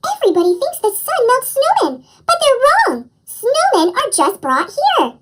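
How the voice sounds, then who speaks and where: A narrator voicing an earnest, curious young boy in a storybook, with a squeaky chipmunk voice effect. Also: huge pitch swings, unhurried, background noise, heavily acted. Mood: outraged, insistent. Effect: chipmunk voice pitch-up effect.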